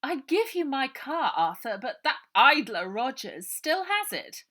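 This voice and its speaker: A woman's voice: high-pitched, sing-song, measured pace, sharp tone, pitched above the performer's own voice, full character voice. A woman voicing a greedy, scheming married villainess with a treacly, gushing manner in a storybook.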